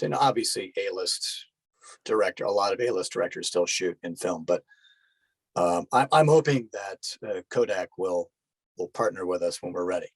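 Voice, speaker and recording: Laid back guy podcast guest, bit raspy, dry close sound, talks real casual. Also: low voice, no echo, rough recording. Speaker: podcast guest, indie film producer. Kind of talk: casual interview chat.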